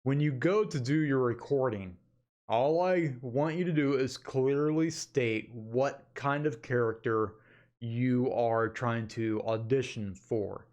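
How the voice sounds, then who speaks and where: A man with a mid-pitched voice talks in a casual vlog style. He explains things informally and directly to his listeners, with a folksy, conversational way of putting them. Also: slow pace, a little mellow. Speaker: amateur animation creator hosting an update video.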